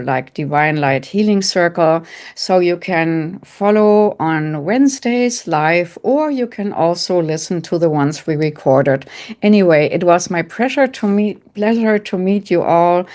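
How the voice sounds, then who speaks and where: A middle-aged woman from Germany with a heavy German accent, gently guiding an online audience through a spiritual exercise and answering questions in short, repeated phrases. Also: lowish voice. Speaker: psychic medium and coach, guest speaker.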